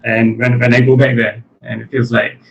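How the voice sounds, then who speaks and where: A man's voice: medium pitch, level tone, normal speed, vocal fry, fairly bright tone. A man from Malaysia with a Malaysian accent, chatting casually as an interview guest. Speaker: interview guest, animation studio chief executive. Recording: muffled lo-fi audio with faint noise.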